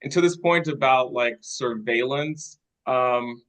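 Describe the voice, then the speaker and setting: A man's voice: mid-range voice, slow, a bit bright. A man agreeing and offering script feedback in a group discussion.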